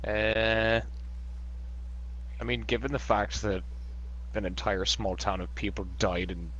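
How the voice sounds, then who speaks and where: A man playing in a tabletop role-playing game, talking in a halting, casual way with some swearing. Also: lowish voice, flat delivery, a bit bright, dull audio, slight background noise. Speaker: player.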